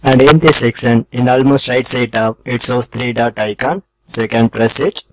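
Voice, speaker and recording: A man's voice: medium pitch, fairly even intonation, moderate pace, creaky, no room sound, poor audio. A man hosting a tech tutorial, narrating scripted step-by-step instructions in a formulaic, instructional way.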